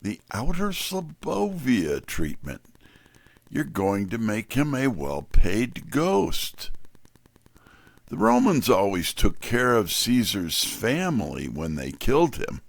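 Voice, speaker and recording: A man's voice: medium pitch, huge pitch swings, slow, creaky, no room sound, full character voice. A man playing a wry, streetwise detective's assistant, narrating a scripted mystery in the first person. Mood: wry, suspenseful.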